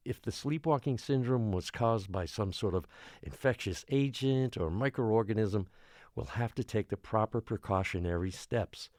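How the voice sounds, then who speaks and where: A man plays a beleaguered mayor of a small island in an audio drama. His voice is low and closely recorded, with little room sound. He speaks formally and with care, trying to keep frightened people calm. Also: dry recording, studio quality, close to an everyday voice. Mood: placating, strained. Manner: measured, formal, placating. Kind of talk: audio drama acting, public address.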